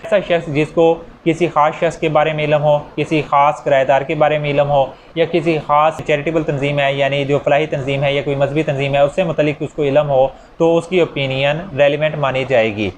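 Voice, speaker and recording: A man with a Pakistani accent giving an explanatory law lecture with examples, mixing in English legal terms that he reads out slowly. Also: fairly high pitch, flat delivery. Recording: clear background noise.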